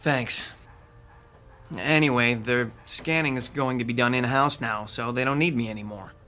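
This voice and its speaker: A man's voice: mid-range voice, moderate pace, sharp tone, natural voice. An actor playing a frustrated young theater techie who has just lost his job, talking wearily. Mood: weary. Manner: weary, grumbling.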